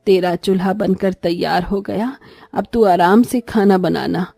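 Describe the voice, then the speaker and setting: A woman plays an elderly village granny in a cartoon tale. Her voice is mellow and dry, with soft music behind it, and her manner is motherly, gentle and reassuring. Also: medium pitch, fairly even intonation, unhurried, gravelly, dry recording. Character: kindly old village woman, gentle helper. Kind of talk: Hindi cartoon storytelling.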